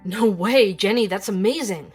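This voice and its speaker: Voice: mid-range voice, moderate pace, a little mellow, faint music behind, lightly acted. An actor playing a tired, earnest investigative journalist who hosts a podcast, in naturalistic audio drama. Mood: excited.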